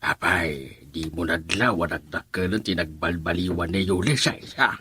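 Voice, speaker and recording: An actor playing a jovial, doting old grandfather with a raspy voice, in an Ilocano radio drama. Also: low voice, measured pace, bright, forceful tone, full character voice. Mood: amused, advising.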